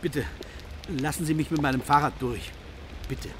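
A man's voice: fairly high pitch, steady tone, normal speed, a little hiss or hum, close to an everyday voice. An actor playing a thin, grey, timid man in a radio play, pleading anxiously and with pedantic politeness.

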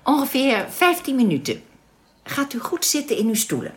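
A woman acting in a radio drama as a calm, welcoming young alien who explains things politely and with composure. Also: high voice, normal speed. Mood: polite, reassuring.

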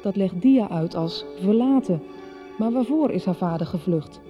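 A woman's voice: medium pitch, lively intonation, moderate pace, faint music behind. A woman reading a scripted recap as narrator of a radio soap. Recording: slightly dull radio recording.

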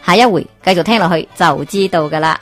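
Lively Hong Kong storyteller woman. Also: on the low side, fast, soft background music. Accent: Hong Kong Cantonese. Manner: lively, expressive, chuckling asides. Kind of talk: radio storytelling narration.

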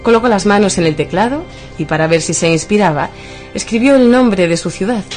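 A woman's voice: medium pitch, lively intonation, a little fast, darkish tone. A woman with an accent from Spain narrating a scripted radio story. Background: music.

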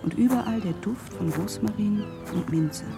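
An actress playing a gentle, dreamy letter voice in a German radio drama, reading lyrical descriptions. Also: female voice, medium pitch, normal speed, creaky, over loud music, close to an everyday voice. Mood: wistful, lyrical. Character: gentle, dreamy woman voicing a letter home.

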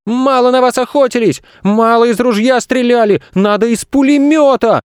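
Bratty small boy for a children's story: high-pitched, petulant, defiant shouting, very dry sound. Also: normal speed, smooth, no echo. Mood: furious.

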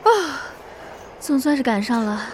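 A woman's voice: fairly high pitch, normal speed, darkish tone, a little hiss or hum, lightly acted. A woman playing a flustered, apologetic young woman hurrying for the subway in an audio horror drama. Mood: relieved.

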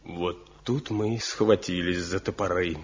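An actor playing a proud, stubborn master woodcarver from a mountain village in a radio drama, speaking deliberately in folksy, declamatory phrases. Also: male voice, deep voice, steady tone, slight background noise. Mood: reminiscing, wry. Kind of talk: Soviet radio drama acting. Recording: muffled 1970 radio play recording.

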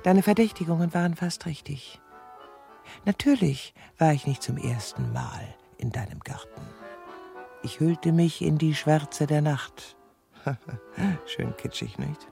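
A woman's voice: sits low, very animated, a little slow, gravelly, mellow, soft background music, heavily acted. An actress playing a lonely, lovesick middle-aged woman in a radio drama, scheming and self-pitying, with an intimate, confiding, dramatic delivery. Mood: confiding, obsessed. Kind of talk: German radio drama acting, dialogue and confiding inner narration.

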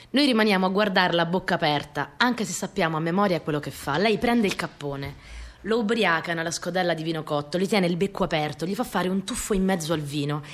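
A woman's voice: lowish voice, close to an everyday voice. An actress playing a young peasant girl who recounts her rural family life in simple, repetitive phrases for a radio play. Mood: matter-of-fact. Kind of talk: first-person dramatic storytelling.

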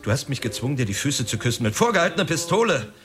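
A womanizing, sardonic film director in a radio drama. His voice swings widely in pitch and stumbles nervously, with prominent music underneath. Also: very animated, fairly bright tone. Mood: indignant, wry. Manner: stammering, halting when rattled. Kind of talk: naturalistic German radio drama dialogue.

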